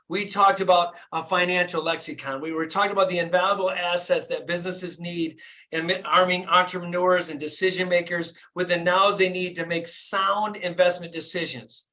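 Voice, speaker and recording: A man in his fifties from Michigan, a dentist hosting a live motivational call in an energetic, hyped-up way, often asking his listeners "right?". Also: sits high. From Flint, Michigan, USA. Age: 50s. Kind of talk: motivational live-stream talk.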